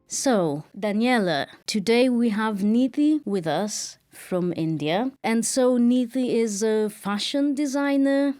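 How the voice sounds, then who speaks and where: A woman co-hosting a podcast, asking questions and reacting with quick interjections and light laughs over a remote call. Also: on the high side, expressive, dark tone, no room sound, clean studio sound, faint music behind. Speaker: podcast co-host.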